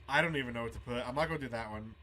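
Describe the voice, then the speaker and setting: A man hosting a gaming video, reading game dialogue aloud and musing between lines in a halting, casual way. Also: medium pitch, pushed and bright. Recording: clear background noise under the voice.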